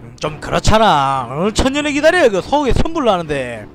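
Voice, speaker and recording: An excitable man with a Daegu dialect streams a strategy game, his high voice leaping around as he shouts commands. Between bursts he drops to low mutters and asides to viewers. Faint music plays underneath. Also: a little fast. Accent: Gyeongsang (Daegu) dialect. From Daegu, South Korea. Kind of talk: live game commentary.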